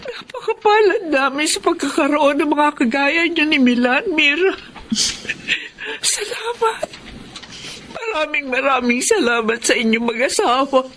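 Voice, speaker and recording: A grateful older Filipino wife in a radio drama. Her fairly high voice rises and falls with earnest emotion, over faint background noise. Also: animated, measured pace, a bit bright. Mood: grateful, moved. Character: warm, grateful older wife of taho vendor.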